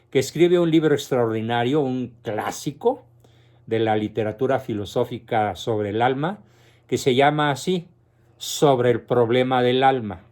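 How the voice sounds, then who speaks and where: A man giving a philosophy lecture, explaining deliberately and lifting his voice to stress key words. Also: mid-range voice, darkish tone. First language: Spanish. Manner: slow, deliberate explanation with rising emphasis.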